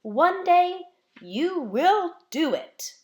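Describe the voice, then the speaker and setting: A woman voicing a seasoned male high-wire performer in a storybook, a patient and earnest teacher who speaks in instructive declarations. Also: sing-song, very slow, a little mellow, full character voice.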